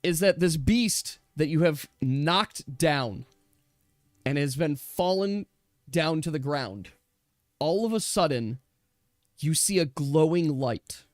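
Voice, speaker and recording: A man's voice: fairly high pitch, no room sound, studio quality. A man speaking as game master, switching between casual rules talk and descriptive narration of a tabletop role-playing game.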